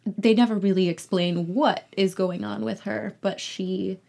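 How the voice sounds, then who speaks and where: A woman's voice: medium pitch, fairly even intonation, unhurried, vocal fry, darkish tone. A young adult woman chatting casually as an interview guest about her acting work.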